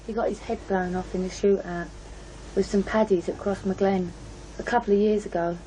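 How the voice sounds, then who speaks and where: Casting: actress for offhand, guarded young British woman, plain-spoken working-class, medium pitch, radio drama with faint noise. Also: fairly even intonation, normal speed, mellow, close to an everyday voice, poor audio. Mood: flat, offhand. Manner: blunt, colloquial. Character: blunt, guarded working-class young woman, matter-of-fact about family.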